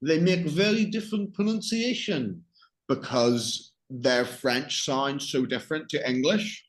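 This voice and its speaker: A man's voice: fairly high pitch, measured pace, rough recording. A man with a Northern Irish accent teaching English one-on-one, explaining patiently with examples and questions. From Northern Ireland.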